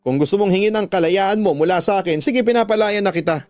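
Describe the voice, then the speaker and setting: A man's voice: sits high, fast, a little mellow, no room sound, clean studio sound, voice pushed up, close to an everyday voice. A man playing a heartbroken, bitter jilted lover in a radio drama, speaking with reproachful emphasis over music. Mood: bitter, emphatic. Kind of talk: Filipino radio drama acting.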